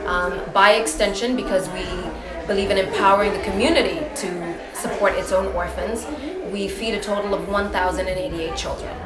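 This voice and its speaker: A woman's voice: mid-range voice, steady tone, moderate pace, over a noisy crowd. A woman from Kenya whose first language is Kikamba, a charity founder speaking earnestly in an interview at a party. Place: party with music. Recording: echoey room, loud music behind.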